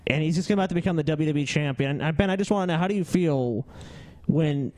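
A man's voice: a little high, fast talker, a little mellow, no room sound. A man in his twenties co-hosting a podcast with casual, rambling, sweary banter and stories. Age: 20s. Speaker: podcast co-host.

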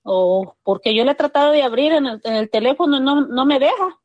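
High-pitched cheeky Salvadoran woman. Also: lively intonation, a little fast, no room sound, highs cut off. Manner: playful, cheeky. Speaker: radio call-in participant. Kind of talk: casual bawdy banter.

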